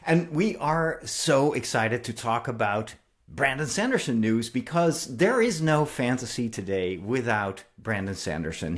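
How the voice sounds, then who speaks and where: A man co-hosting an enthusiastic podcast discussion about books, explaining things in an effusive way. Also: mid-range voice. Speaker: co-host and writer.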